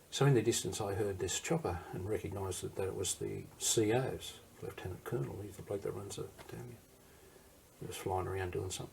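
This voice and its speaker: A man's voice: lowish voice, vocal fry, a little mellow, slight background noise. An older man with an Australian accent telling war memories in an interview, in a rambling, anecdotal way that wanders off and circles back. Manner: rambling anecdotal, digresses and restarts. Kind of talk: recorded interview storytelling.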